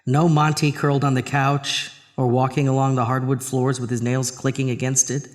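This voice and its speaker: A man's voice: medium pitch, flat delivery, normal speed, long reverb tail, natural voice. A man acting as a troubled husband who narrates his own horror story in an audio drama. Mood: uneasy. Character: Arthur, troubled married man haunted by a drain. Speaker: narrator and lead actor.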